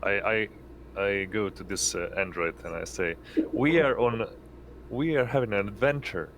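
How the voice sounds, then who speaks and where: Low-voiced man, casual game player. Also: moderate pace, noisy background.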